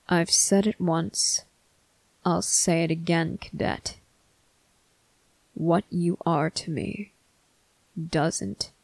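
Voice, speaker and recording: A performer plays a male vampire captain, stern yet quietly tender. The voice is slow and creaky, recorded very dry and intimate for ASMR roleplay. Phrases come clipped and deliberate, set apart by pointed pauses. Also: mid-range voice, dry recording, rough recording. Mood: solemn, guarded. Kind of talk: ASMR roleplay addressed to the listener.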